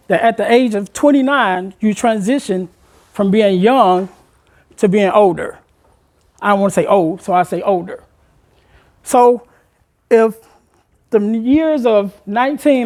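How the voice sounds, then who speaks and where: A man, a motivational speaker addressing a room of high school students, asking them questions and urging them to take part. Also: a little mellow. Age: 40s. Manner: engaging the audience with questions, conversational and encouraging.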